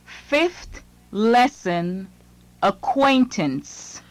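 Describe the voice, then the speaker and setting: Very slow, deliberate woman reading a lesson. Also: medium pitch, sing-song, a little hiss or hum. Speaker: language lesson narrator.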